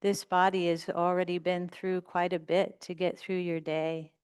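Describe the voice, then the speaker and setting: A woman leading a guided meditation as a teacher, speaking in gentle, unhurried instructions with long pauses. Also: on the low side, flat delivery, clean tone.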